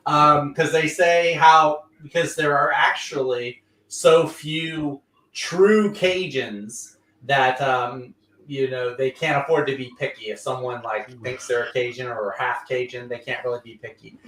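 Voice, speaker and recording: A man's voice: mid-range voice, fairly even intonation, measured pace, a bit bright, distant mic. A man from Louisiana chatting through a comic recap on a live stream. He rambles, piles on fillers and slips into little quoted voices. His voice sounds roomy and sits a bit back from the mic. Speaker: comic book show host. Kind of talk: casual livestream review chat.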